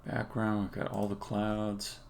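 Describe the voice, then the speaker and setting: Man, very creaky low voice, game developer streamer, casual muttered live-coding commentary, faint background noise with keyboard clicks. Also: completely flat delivery, unhurried. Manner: muttering to himself while working.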